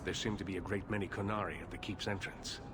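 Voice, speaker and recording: A man's voice: sits low, steady tone, fast, background noise, close to an everyday voice. An actor playing a proud, weary male mage leader who speaks with dry, cutting sarcasm. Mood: urgent.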